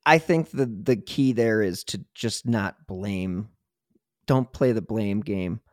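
A man's voice: fairly low pitch, slow, no echo, studio quality. A man hosting a podcast, talking in a conversational interviewing style. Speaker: podcast host. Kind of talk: conversational interview and show sign-off.

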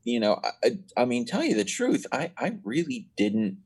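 A man's voice: mid-range voice, huge pitch swings, moderate pace, darkish tone, slightly rough. A nineteen-year-old man chatting as a podcast guest, telling stories in a rambling way with many restarts. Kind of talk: casual chat.

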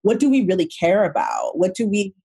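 A young adult woman from Michigan, born in Nigeria, talking casually as a podcast guest, animated and quick to swear and laugh. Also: mid-range voice, vocal fry, husky, no echo. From born in Nigeria, grew up in Michigan, USA. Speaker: guest, mindset coach.

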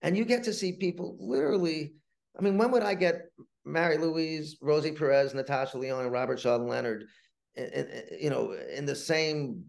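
A man talking casually as an interview guest, telling anecdotes about his writing work in a halting way with stammered restarts. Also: fairly high pitch, creaky. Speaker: guest, playwright and TV writer.

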